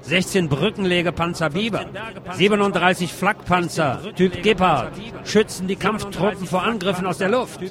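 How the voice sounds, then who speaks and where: A man's voice: high-pitched, moderate pace, hardly any pauses, a crowd in the background. A man reading scripted, documentary-style narration with factual, report-like phrasing. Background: loud music bed.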